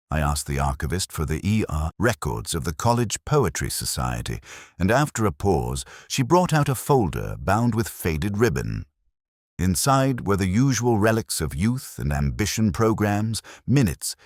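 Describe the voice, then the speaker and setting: Very deep, brooding detective narrator played by a man. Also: dry recording, studio quality, full character voice. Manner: measured, literary, reflective. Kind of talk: scripted first-person detective narration, audio drama.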